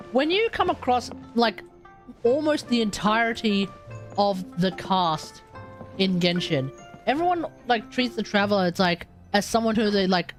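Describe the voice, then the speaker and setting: A woman with an Australian accent doing casual live game commentary to chat, informal and rambling, often repeating herself. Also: on the high side. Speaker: game livestreamer.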